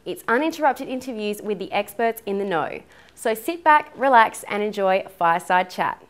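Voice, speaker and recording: Announcer: woman, mid pitch, smooth scripted promo read. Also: animated, moderate pace. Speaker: show announcer. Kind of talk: scripted intro voice-over.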